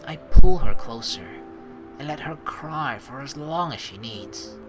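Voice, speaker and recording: A man's voice: fairly high pitch, expressive, a little slow. A man hosting a let's play, informal and rambling, moving between casual commentary and reading story text aloud. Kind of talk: casual game commentary mixed with dramatic read-aloud narration. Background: game music.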